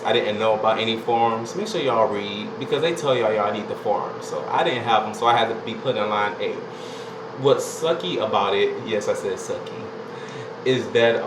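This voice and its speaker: A man, casually talking through his experience in a rambling, chatty way with lots of fillers. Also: mid-range voice. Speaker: vlogger. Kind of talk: casual vlog recounting a singing audition. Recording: echoey room with loud air-conditioning hum.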